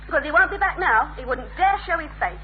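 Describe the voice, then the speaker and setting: A woman's voice: high voice, expressive, fast talker. A woman playing a feisty, sardonic young woman in a radio sitcom. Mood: exasperated. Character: feisty, exasperated young northern woman who can turn coy. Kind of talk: 1970s British radio sitcom acting. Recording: thin phone-like old radio recording, faint hum.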